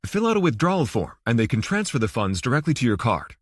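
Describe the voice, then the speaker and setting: A man's voice: mid-range voice, big pitch swings, fast, dry recording, studio quality. A man voicing a guarded, formal male banker who is politely firm, in an audiobook thriller. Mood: formal, brisk.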